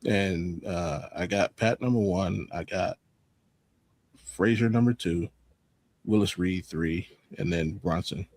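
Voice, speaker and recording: A man's voice: sits low, slow, darkish tone, dry recording. A man on a sports talk panel, casually reasoning through his opinions off the cuff in an analytical way.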